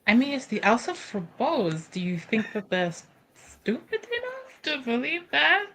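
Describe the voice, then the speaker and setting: A woman's voice: fairly high pitch, sing-song, a little slow, fairly bright tone. A woman chatting casually as a player in a tabletop game, describing her moves.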